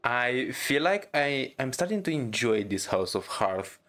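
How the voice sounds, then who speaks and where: Very low-voiced woman commenting on a game. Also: sing-song, unhurried, a bit bright. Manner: dry, wry asides. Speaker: streamer playing and reacting to a game.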